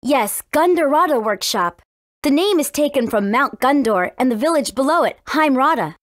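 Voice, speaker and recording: A woman's voice: high voice, expressive, normal speed, dry recording, studio quality. A woman voicing a spirited, cautious fifteen-year-old warrior princess in a video game, earnest and youthful in her delivery. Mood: earnest, explaining. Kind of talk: English video game voice acting.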